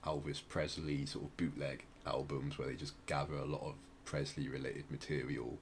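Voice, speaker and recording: A man with a very deep voice hosts a casual music podcast. He explains things in a hesitant, roundabout way, over noticeable noise. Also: flat delivery, moderate pace. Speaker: podcast host.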